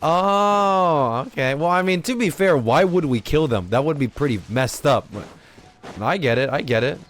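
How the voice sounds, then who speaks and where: A man doing casual live gameplay commentary as a streamer, reactive and excitable, swearing casually. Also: fairly high pitch, moderate pace. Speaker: game streamer.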